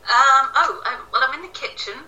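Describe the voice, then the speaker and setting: Bright, sharp-voiced woman on a distant mic. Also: high voice, fairly quick. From Wales. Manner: self-deprecating. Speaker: author being interviewed. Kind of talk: casual interview answers. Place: home kitchen, indoors. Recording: echoey kitchen, distant tinny call sound.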